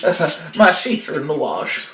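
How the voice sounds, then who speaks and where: Someone doing a bluffing stammery wolf for a story, pitch jumping about, echoey with some hiss behind. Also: big pitch swings, a little slow, a bit bright. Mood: flustered. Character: sly, flustered wolf, bluffing and roaring. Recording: roomy echo, clear background noise.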